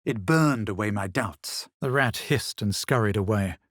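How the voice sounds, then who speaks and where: An actor playing a haughty, self-assured male adventurer in a fantasy video game, speaking measured, formal scripted lines with a superior manner. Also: on the low side, expressive, fairly bright tone, no echo, clean studio sound. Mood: grave, measured. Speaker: video game player character.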